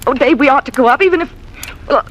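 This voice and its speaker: A woman's voice: high-pitched, quick pace, lightly acted. Actress doing a scared hesitant woman plotting in an old 1940s radio thriller, noisy vintage recording. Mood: panicky. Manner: hesitant, anxious, faltering. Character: frightened, hesitant young niece plotting with her partner. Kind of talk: 1940s radio drama acting.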